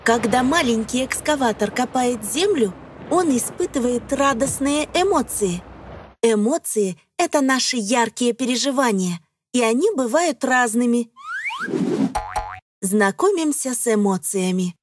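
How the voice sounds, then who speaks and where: Female narrator, high voice, for educational children's cartoon; simple explanatory scripted delivery, faint background noise. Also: lively intonation, a little slow.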